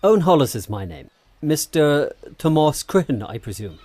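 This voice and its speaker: A man's voice: fairly high pitch, very animated, moderate pace, a little mellow, husky. An actor playing a polite, eager young man visiting an island in a radio drama, speaking courteously and formally.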